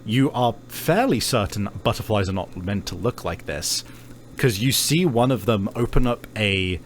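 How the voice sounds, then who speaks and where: A man narrating a tabletop roleplaying game as game master, in a halting, conversational storytelling manner. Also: on the low side, animated, a little hiss or hum.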